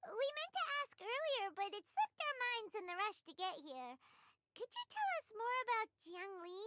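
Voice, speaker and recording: A woman voicing a chirpy, childlike little fairy companion in a video game, squeaky and excitable. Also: moderate pace, background noise. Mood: perky, curious. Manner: excitable, refers to itself in third person. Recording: playful game music behind voice.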